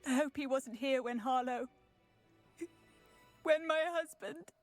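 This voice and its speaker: A woman's voice: high-pitched, slow pace, no echo. A woman playing a middle-aged widow and anxious mother in a video game, sorrowful and anxious. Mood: distraught. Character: grief-stricken widowed mother, anxious, gentle villager witch. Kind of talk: video game character dialogue. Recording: music behind the voice.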